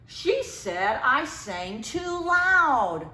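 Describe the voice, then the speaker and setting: A woman's voice: high voice, big pitch swings, drawn-out words, sharp tone, full character voice. A woman voicing a grumpy, aggrieved little boy in a storybook, whining and drawing out his complaints. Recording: echoey, roomy sound.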